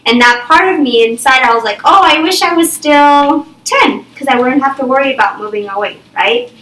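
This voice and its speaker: A woman's voice: sits high, expressive, moderate pace, bright, forceful tone. A young woman teaching a small reading group, thinking aloud and checking in with "okay?" and "right?" all the time. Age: young adult. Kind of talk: classroom think-aloud lesson. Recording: echoey room, far from the mic.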